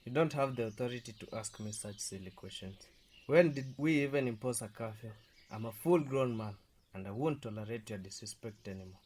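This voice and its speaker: A man's voice: mid-range voice, a little fast, a little mellow, lightly acted. A man playing a domineering, defensive husband in a radio drama, scolding and commanding. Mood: angry, defensive.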